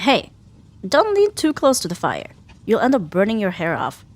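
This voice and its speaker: A sharp-tongued tsundere young woman, played by a female voice actor in an ASMR roleplay. Her mid-pitched voice swings animatedly through huffy, sarcastic jabs. Under the irritation she is grudgingly caring. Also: huge pitch swings. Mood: grudgingly soft, dismissive. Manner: sarcastic, huffy, theatrical sighs and drawn-out jabs. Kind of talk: ASMR roleplay audio drama addressed to the listener.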